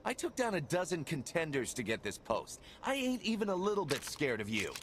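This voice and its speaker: Excitable high-voiced pirate underling. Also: male voice, fairly quick, background noise. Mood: defiant. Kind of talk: video game voice acting.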